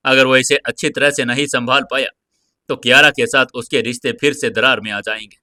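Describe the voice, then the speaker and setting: A man narrating an audio story in a dramatic, expressive scripted style, close on the mic. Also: medium pitch, no room sound.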